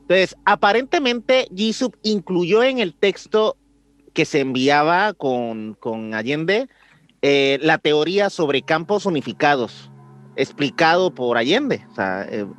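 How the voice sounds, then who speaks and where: Chatty Mexican man explaining, slightly high. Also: slow pace, dry recording. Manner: relaxed explainer, loose and chatty. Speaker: podcast co-host. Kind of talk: casual chat.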